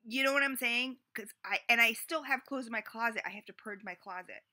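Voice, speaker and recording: Woman, late forties, chatty confessional vlogger, very bright tone, lots of fillers. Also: fairly high pitch, fairly quick. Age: 40s. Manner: rambling, chatty, full of "you know" and "like". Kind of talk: casual confessional vlog.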